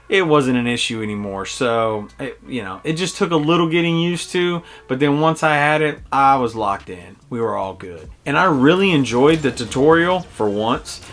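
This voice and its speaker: A man's voice: mid-range voice, a bit bright. A man giving a casual, enthusiastic video game review in a conversational way. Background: game music behind the voice.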